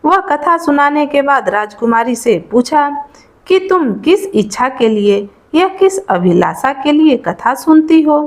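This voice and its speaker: A woman tells a traditional devotional folk tale in a high, lively voice. She takes her time, moving slowly through the story in plain spoken style, with the pitch rising and falling freely as she explains. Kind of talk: narrated Hindi devotional folk tale, informal oral storytelling.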